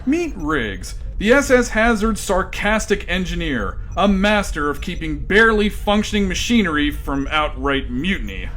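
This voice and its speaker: A man's voice: high voice, sing-song, normal speed, few pauses, a bit bright, a little hiss or hum. A man narrating a comedy radio drama with grand, theatrical delivery.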